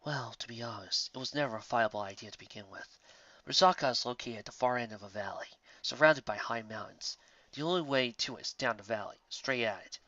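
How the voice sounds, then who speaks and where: A grave deer commander in a fantasy story, acted by a man. The voice is hushed and deliberate, recorded dry, with a dull lo-fi edge. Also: medium pitch, dry recording, pitched below the performer's own voice, natural voice. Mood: sober. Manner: solemn, terse, deliberate. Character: grave, quiet male deer leader, solemn strategist.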